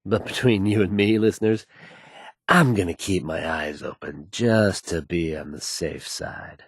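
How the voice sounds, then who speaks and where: A man acting a confident, smooth-talking, folksy old-time radio DJ for a video game. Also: sits low, unhurried, no room sound, clean studio sound, heavily acted. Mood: intrigued, confiding. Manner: assured, folksy, showman-like.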